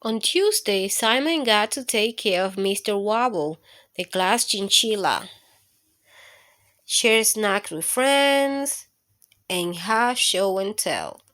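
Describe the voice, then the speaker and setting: A woman hosting storytime for children, reading aloud with deliberate phrasing and speaking straight to her young listeners. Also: medium pitch, animated, a bit bright.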